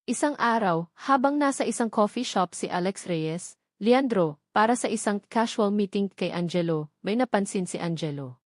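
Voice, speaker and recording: A woman's voice: mid-range voice, lively intonation, normal speed, no room sound, studio quality. A woman with a Filipino accent reading a scripted story as the narrator of an audio drama.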